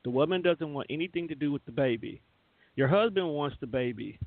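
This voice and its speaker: A man's voice: on the high side, expressive, a little fast, no room sound. A man with an American accent, chatting casually and slangily as a teasing radio show host. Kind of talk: casual chat. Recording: narrow phone-like line, dry.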